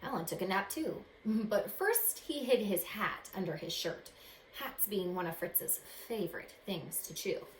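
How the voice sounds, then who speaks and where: Woman, animated storybook read-aloud, dramatic delivery for children, roomy echo, faint noise. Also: medium pitch. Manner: dramatic, pausing to ask young listeners questions. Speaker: teacher reading a picture book aloud to children.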